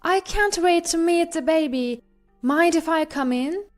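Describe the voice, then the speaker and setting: A woman's voice: very high voice, measured pace, soft background music, pitched above the performer's own voice. A woman voicing an eager, anxious mother in her fifties, a new grandmother pressing her son in a narrated story. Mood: eager, concerned.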